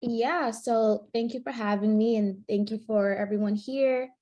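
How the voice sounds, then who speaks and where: A woman's voice: fairly high pitch, steady tone, unhurried, dark tone, highs cut off. A young woman from New York, a guest on a panel, chatting in a friendly, casual way with many fillers. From New York, United States. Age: young adult.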